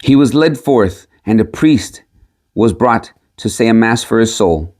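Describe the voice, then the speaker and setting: A man's voice: on the low side, expressive, unhurried, a little mellow. A man reading a storybook tale aloud as scripted narration. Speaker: audiobook reader.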